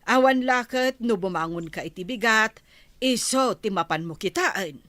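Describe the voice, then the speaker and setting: A woman's voice: fairly high pitch, lively intonation, normal speed, a bit bright, dry recording. A woman playing a scolding, exasperated village woman in an audio drama, with an Ilocano accent.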